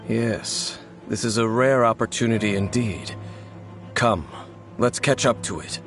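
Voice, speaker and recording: A man's voice: on the low side, a little slow, music in the background. A man playing a reserved, serious, mysterious warrior in a video game, speaking in a composed, formal, probing way. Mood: resolute. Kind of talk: video game drama acting.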